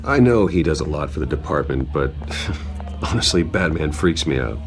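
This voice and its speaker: A man's voice: a bass voice, expressive, moderate pace. An actor playing a brooding, resentful wealthy man in a video game, with a stern, guarded manner. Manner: stern, guarded, quietly threatening. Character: brooding, wealthy orphaned heir, controlled and resentful. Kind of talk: dramatic video game acting. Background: music.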